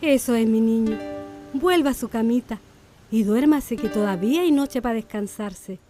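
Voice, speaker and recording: A woman's voice: fairly high pitch, moderate pace, over music, natural voice. A woman playing an anguished rural mother in a radio drama, with a Chilean accent and an emotive, tender rustic way of speaking. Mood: soothing, uneasy. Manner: emotive, tender rustic speech to her child.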